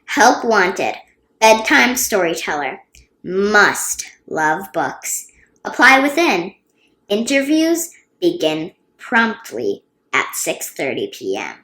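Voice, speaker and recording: Woman voicing a bossy little girl, slowly announcing. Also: medium pitch, sing-song, fairly bright tone. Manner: emphatic announcing, stretched-out words. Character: bossy little girl posting job notices. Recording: roomy, echoey sound.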